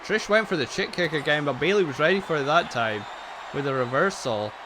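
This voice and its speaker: A man talks his way through a wrestling video game match in casual play-by-play, chatty and self-mocking. His voice sounds a little tired. Steady background noise runs under the recording. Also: a little high, fairly quick, crowd noise. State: voice getting tired. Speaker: gaming channel host. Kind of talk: casual play-by-play commentary over gameplay.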